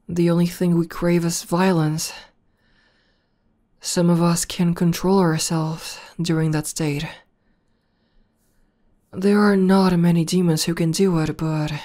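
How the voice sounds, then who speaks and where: Slow, low, bashful demon girlfriend, acted by a woman. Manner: soft, hesitant, bashful, breathy dips into whisper. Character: tough tomboy demon girlfriend, shy, tender and devoted. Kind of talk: intimate ASMR girlfriend roleplay.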